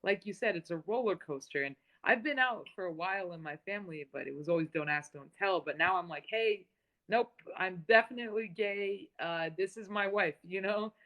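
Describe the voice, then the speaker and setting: A woman's voice: mid-range voice, moderate pace, a bit bright, rough recording. A woman interviewing in a casual live-stream chat, enthusiastic and laughing often.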